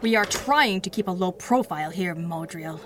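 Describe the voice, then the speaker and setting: A woman's voice: on the low side, lively intonation, normal speed, heavy background noise, close to an everyday voice. A woman playing a cutting, knowing female villain in a radio drama, dry and sardonic. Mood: scolding. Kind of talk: 1930s-style radio drama acting.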